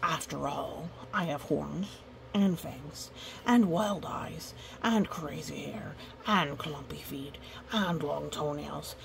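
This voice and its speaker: A woman voicing a grumpy, put-upon male monster from a picture book, slow, deliberate and mildly indignant. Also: sits low, vocal fry, slight background noise, full character voice, rough recording. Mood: matter-of-fact, grumbling.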